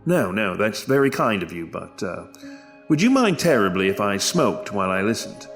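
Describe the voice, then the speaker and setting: An actor playing a polite, reserved young English heir, speaking in courteous, formal phrases in an audio drama. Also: male voice, fairly low pitch, big pitch swings, normal speed, creaky, a bit bright, soft background music, exaggerated voice. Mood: polite, hesitant. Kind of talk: scripted audio drama with first-person narration.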